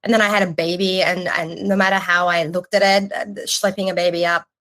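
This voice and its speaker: A woman in her forties, from Iran, telling her story as a podcast guest, lively and quick to restart her sentences. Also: fairly low pitch. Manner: animated storytelling with restarts and casual swearing. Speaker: podcast guest, agency creative chief. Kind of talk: casual interview chat.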